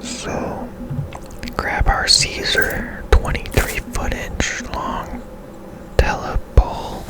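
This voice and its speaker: A person whispering softly and breathily, musing tentatively to themselves in ASMR-style video game commentary. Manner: unhurried, musing to self, tentative. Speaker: gaming ASMR video creator.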